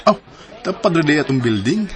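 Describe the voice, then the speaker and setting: A man's voice: fairly high pitch, very animated, moderate pace, some chatter behind. A man playing Melvin, an eager young suitor. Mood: friendly. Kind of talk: radio drama acting.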